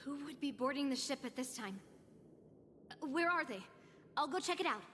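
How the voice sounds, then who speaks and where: A woman playing a confident, commanding young girl warrior in an anime game, speaking in a measured, formal, self-assured way. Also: high-pitched, lively intonation, sharp tone, a little hiss or hum. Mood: composed, businesslike. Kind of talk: scripted anime game voice acting. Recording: long reverb, game music behind.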